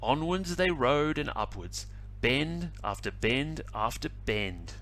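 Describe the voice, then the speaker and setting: A male teacher reading a picture book aloud to children, animated and adding little asides. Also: mid-range voice. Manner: animated, slow storytelling with asides.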